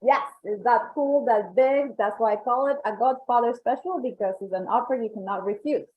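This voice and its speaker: A woman's voice: on the high side, moderate pace, slight background noise. A woman with a Colombian accent presenting member benefits on a webinar, upbeat and salesy, repeating points for emphasis. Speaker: membership coordinator. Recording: tinny phone-like call audio.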